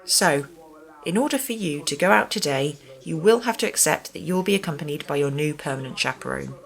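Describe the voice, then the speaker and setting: Formidable matron in charge , played by a woman, low register, clipped and officious, for a radio play. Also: animated, fairly quick, creaky. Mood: smugly firm. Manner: formal, clipped, condescending. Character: stern, officious female care-home manager, smugly authoritative. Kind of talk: amateur radio play acting.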